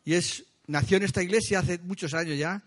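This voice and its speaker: Male church host, Spain accent, higher-than-average pitch, conversational and slightly halting, addresses the congregation with questions and announcements. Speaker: church meeting leader. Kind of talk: informal church announcements, greeting visitors.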